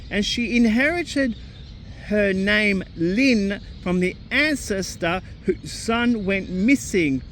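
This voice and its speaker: A man who speaks English as a second language talks earnestly and deliberately, spelling out details one by one. His voice sits high for a man, and he takes his time with every phrase. A faint hum of outdoor noise sits behind him. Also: a bit bright. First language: not English. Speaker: psychic medium, host. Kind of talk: personal recounting to camera.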